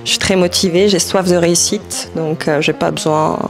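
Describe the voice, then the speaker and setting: A woman's voice: fairly low pitch, fairly even intonation, moderate pace. A woman on a dating show, confident and self-assured. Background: music.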